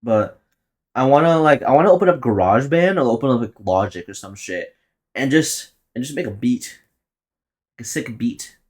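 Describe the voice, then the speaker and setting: A young man near twenty hosts his own podcast alone, rambling through his plans in a loose, profane, offhand way. His voice sits in an ordinary middle pitch, and he often laughs at his own jokes. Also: animated, moderate pace. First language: Tagalog. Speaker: podcast host. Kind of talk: casual solo podcast monologue.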